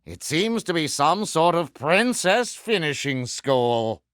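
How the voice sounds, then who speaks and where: Vain pirate villain, British accent, highish swooping pitch, grandiose, mannered, theatrical game voice. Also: male voice, sing-song, slow pace, a bit bright, no room sound, clean studio sound, full character voice. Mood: grandly courteous. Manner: pompous, grandiose, mannered formal speech. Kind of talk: theatrical video game character voice acting.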